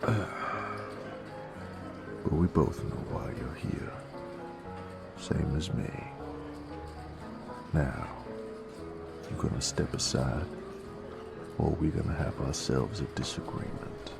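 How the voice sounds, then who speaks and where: A man playing a gruff werewolf bounty hunter in a Western audio drama, with a slow, sardonic Southern American drawl and a gravelly voice. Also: rumbling low, loud music behind the voice, exaggerated voice. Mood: wry menace. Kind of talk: Western audio roleplay acting.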